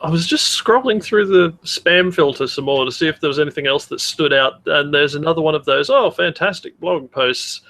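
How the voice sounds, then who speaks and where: A man's voice: fairly high pitch, a little fast, creaky, few pauses, a bit bright. A man with a mixed Australian and English accent, chatting casually and irreverently as a podcast co-host, with plenty of swearing. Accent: mix of Australian and English. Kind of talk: casual chat, banter.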